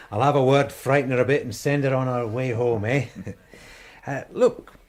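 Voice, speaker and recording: An actor playing a weary but genial police detective sergeant in a radio drama, with a wry manner. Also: male voice, mid-range voice, normal speed, lightly acted. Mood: brisk, friendly. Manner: wry, animated, hesitant asides.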